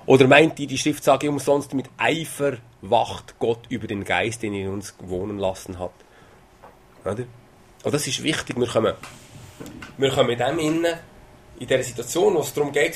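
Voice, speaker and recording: A man's voice: medium pitch, slight background noise. A man with a Swiss German accent preaching a sermon, talking in a conversational way with hesitations and sudden emphasis. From Switzerland.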